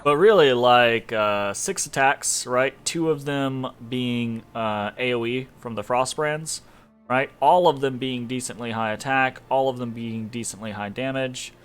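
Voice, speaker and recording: A man's voice: medium pitch, measured pace, smooth, faint music behind. A young man with a congested, sniffly voice, giving casual commentary on a strategy game, loose and rambling with many fillers and small laughs. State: congested from allergies.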